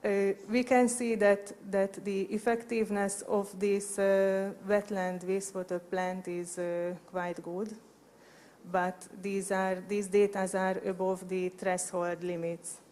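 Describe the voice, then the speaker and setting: Halting Hungarian-accented woman, flat and slow. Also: medium pitch. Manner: halting, hesitant non-native English. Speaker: company presenter at a conference. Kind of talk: prepared conference presentation. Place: conference hall at a lectern. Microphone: handheld microphone held at chest level.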